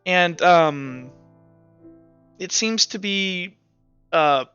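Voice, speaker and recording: A man's voice: high voice, lively intonation, extremely slow, a bit bright, clean tone. A young man narrating as game master, rambling with lots of fillers and restarts. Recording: dull lo-fi sound with faint music.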